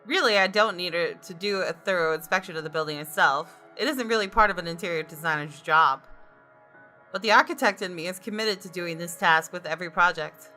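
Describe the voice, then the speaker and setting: Wry professional woman, narrating a game story, forceful bright tone, lowish pitch, music underneath. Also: a little fast. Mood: matter-of-fact. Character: wry, observant professional female architect and designer. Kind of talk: visual novel narration read in character.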